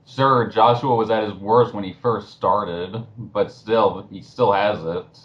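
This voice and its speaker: A man with a medium-pitched voice and an unhurried pace, heard through dull, lo-fi voice-chat audio. He makes snide, blunt remarks as a casual co-commentator. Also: steady tone.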